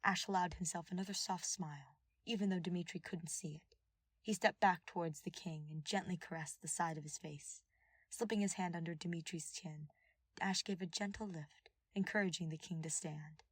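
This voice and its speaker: Husky woman narrating fiction. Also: lowish voice, steady tone, moderate pace, dry recording. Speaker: audiobook narrator. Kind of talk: scripted dramatic fiction narration.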